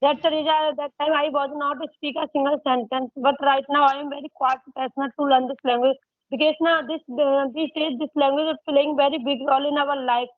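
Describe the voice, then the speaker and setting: A woman with an Indian English accent, a native Hindi speaker and a parent learning English, talking in halting, broken English with many fillers. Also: high-pitched, steady tone, dry recording. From India, from a village. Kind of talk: casual English conversation practice. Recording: dry tinny phone line.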